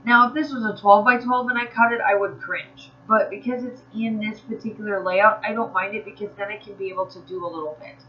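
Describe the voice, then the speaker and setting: A woman narrates her craft video in a relaxed, rambling way, with fillers and quick restarts. Her voice sounds a little nasal from allergies. The home recording is somewhat dull and muffled. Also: mid-range voice, a little hiss or hum. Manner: chatty, rambling, with fillers and self-corrections. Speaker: craft channel host. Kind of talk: casual voice-over narrating a crafting process video.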